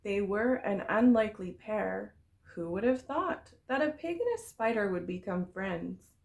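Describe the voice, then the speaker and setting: A woman reads a children's story aloud with expressive phrasing. Her mid-pitched voice has a noticeable creak, and she tells it clearly for very young listeners, like a teacher in front of a kindergarten class. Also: a little slow.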